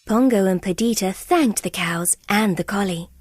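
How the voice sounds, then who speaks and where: A woman reading a children's storybook aloud as narrator, in an expressive, unhurried way. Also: medium pitch, no echo, faint music behind.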